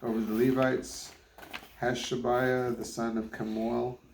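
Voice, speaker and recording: A man's voice: mid-range voice, flat delivery, a little slow, darkish tone. A man reading the Bible aloud as a father, stumbling over difficult names and retrying them. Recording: roomy echo, faint background noise.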